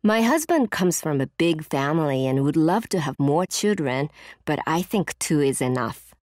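Actress doing a calm mom, low voice, textbook read, dry sound. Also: female voice, normal speed, dry recording. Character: calm busy mother of two. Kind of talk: scripted textbook listening passage.

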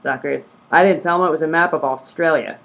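A man's voice: a little high, brisk, gravelly, slight background noise. A man playing a wily, folksy huckster in a comedy radio play, glib and colloquial. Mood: sly. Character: wily, folksy huckster, cheerfully swindling travelers. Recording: tinny, phone-like audio.

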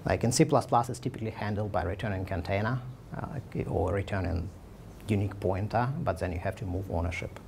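A man's voice: low voice, brisk, vocal fry, a little mellow, a little hiss or hum. A man in his thirties giving a conference talk with slides, speaking casually with many fillers and restarts. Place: meetup room, indoors.

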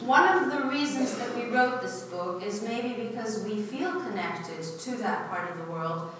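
A woman in her sixties from Israel, giving an earnest, measured talk from a podium in a university lecture hall. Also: medium pitch, crowd noise. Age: 60s. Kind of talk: prepared public talk. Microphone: podium, filmed from a distance. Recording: echoey lecture hall from far off.

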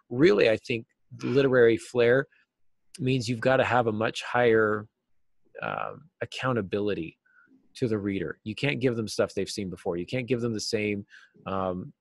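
A man in his forties giving earnest, explanatory answers as an interview guest, stressing key words deliberately. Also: on the low side, no echo. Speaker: writing teacher and novelist, interview guest. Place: small cluttered office, video call.

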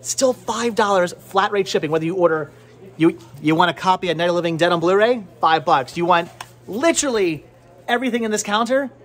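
Higher-voiced man vlogging casually. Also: fairly quick, a bit bright. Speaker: vlog host. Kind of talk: casual chatty vlog to camera. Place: indoor cluttered video and game shop. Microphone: handheld camera at arm's length.

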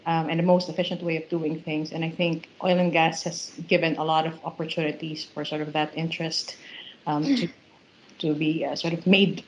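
A woman's voice: deep voice, flat delivery, normal speed, slight background noise, rough recording. A woman from the Philippines, a plant manager, talking in a thoughtful way with many fillers on a video call. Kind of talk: casual video-call chat.

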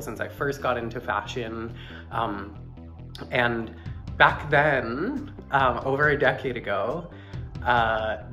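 A man's voice: medium pitch, bright, forceful tone. Effusive male vlogger over instrumental music. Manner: effusive, upbeat. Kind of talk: casual chat to camera. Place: small room indoors.

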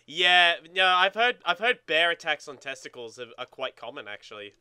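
A man with a very bright, forceful voice banters over a video game, clear and closely miked with a dry sound. He is casual, sweary and joking, repeating phrases for emphasis. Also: a little high, big pitch swings, normal speed, no echo. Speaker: let's play co-host and gamer.

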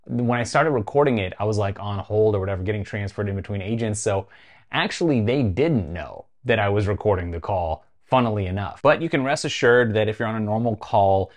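A man in his forties with a North American accent, hosting a conversational tech explainer and casually stressing key phrases. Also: fairly low pitch. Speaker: tech video host. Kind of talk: explainer vlog to camera, conversational. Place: small home studio room, indoors.

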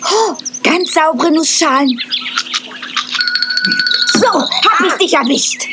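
A woman's voice: very high-pitched, animated, slow pace, heavy background noise, full character voice. A woman voicing a young, naive male squirrel in an old cartoon dub, earnest and often pleading. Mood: eager. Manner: pleading, indignant. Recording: music playing loudly behind voice.

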